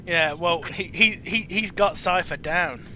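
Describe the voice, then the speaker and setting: A man with a notably high, bright voice hosts a casual video game chat. He speaks haltingly, doubling back on words, over a rumbling background noise. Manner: halting, repeats words as he searches for phrasing. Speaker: chat show host.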